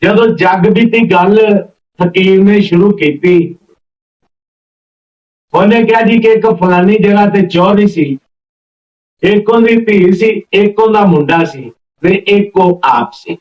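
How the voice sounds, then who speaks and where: A man's voice: high voice, measured pace, pauses often, a little mellow. A man telling a folk story informally and unhurriedly, repeating phrases as he links one event to the next. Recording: roomy, distant, slightly dull mic.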